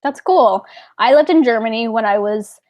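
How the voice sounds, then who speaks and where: A woman co-hosting a casual book club livestream, relaxed and chatty, with lots of "like" in her talk. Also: a little high, normal speed, highs cut off. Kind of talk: casual chat.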